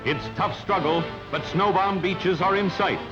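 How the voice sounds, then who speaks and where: A man's voice: sits high, unhurried. A man reading scripted narration for an old newsreel in a clipped, dramatic, telegraphic delivery. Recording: dull old newsreel soundtrack with music. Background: music.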